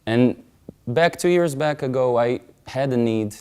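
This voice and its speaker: A man giving a conference talk, telling a personal story earnestly and rising to loud, emphatic peaks. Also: mid-range voice, unhurried, smooth. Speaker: conference speaker. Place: conference stage.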